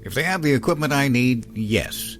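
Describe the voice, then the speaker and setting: An actor playing a cautious, concerned starship medical officer in a science fiction audio drama. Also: male voice, mid-range voice, animated, moderate pace, fairly bright tone, soft background music, natural voice. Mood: serious, cautious.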